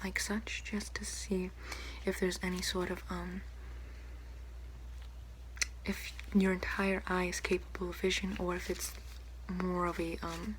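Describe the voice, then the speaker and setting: Young female, ASMR doctor roleplay. Hushed and breathy, very even intonation, polite and unhurried, noisy home recording. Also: fairly low pitch. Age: young adult. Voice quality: soft, breathy, often whispering. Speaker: ASMR creator playing a doctor. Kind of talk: ASMR roleplay, soft-spoken and whispered. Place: small room at home, indoors. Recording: noticeable background hiss, home recording.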